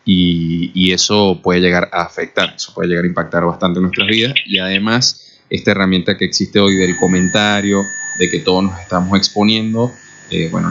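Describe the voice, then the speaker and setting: Man, Venezuelan accent, radio host, very low voice, hesitant with fillers, muffled sound. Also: level tone, normal speed, fairly bright tone. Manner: hesitant, many "eh" fillers, thoughtful. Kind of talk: radio interview. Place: radio studio.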